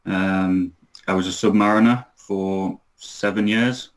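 A man's voice: low-pitched, steady tone, takes it slowly. A man in his thirties from Newcastle in England, chatting as a podcast guest and hesitating often. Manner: hesitant, many ums and uhs, easygoing. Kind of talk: casual interview.

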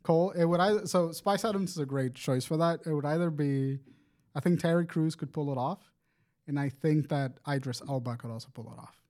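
Male co-host, voice a bit high, casual banter, pushes opinions emphatically and swears a lot. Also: brisk, darkish tone. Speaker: podcast co-host.

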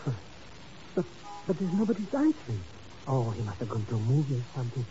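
A man's voice: medium pitch, very animated, fast, mellow, close to an everyday voice. An actor playing a nervous, grumbling, self-serving comic sidekick in a 1950s radio drama, stammering and whining as he complains. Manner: stammering, whiny, fretful complaining. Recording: noisy, muffled old radio recording.

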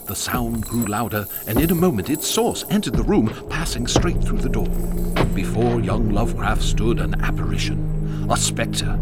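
Radio drama narrator: male actor, grandiloquent gothic storyteller, lively melodic delivery over prominent music. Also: medium pitch, lightly acted. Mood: ominous, dramatic.

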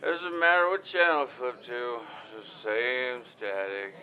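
A man acts out a flustered, clueless caller in an audio drama. He meanders slowly and hesitantly in a mid-pitched voice, and the line is dull and muffled. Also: lightly acted. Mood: nervous, rambling. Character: anxious, rambling, clueless male caller. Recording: dull, muffled phone-call sound.

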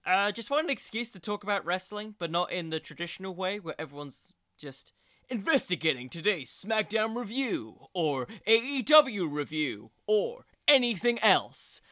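Bright-voiced young man hosting a podcast. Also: high-pitched, big pitch swings, moderate pace, no room sound, clean studio sound. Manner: self-deprecating, rambling, jokey. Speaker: podcast host. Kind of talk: informal solo podcast commentary on wrestling.

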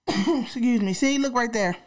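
A woman's voice: a little high, slow. A young woman hosting a podcast, congested and a little nasal from a cold, chatting casually in slang straight to her listeners. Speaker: podcast host.

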